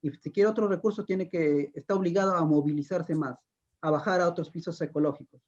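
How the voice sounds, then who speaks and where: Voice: mid-range voice, fairly quick, darkish tone, muffled recording. An interviewer asking a long, conversational question, hesitating and repeating words.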